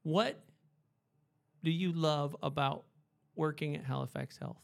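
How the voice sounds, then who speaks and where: A man hosting a casual interview, chatty and encouraging, quick to praise his guest. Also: a little high, vocal fry. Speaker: interviewer, host.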